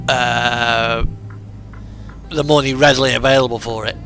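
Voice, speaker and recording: A man's voice: medium pitch, steady tone, unhurried, a bit bright, poor audio. A British man chatting casually and musing off the cuff while he plays a driving game. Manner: rambling, musing, unscripted. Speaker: streamer playing a truck driving simulator. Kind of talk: casual chat while gaming. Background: radio music and truck game engine sound.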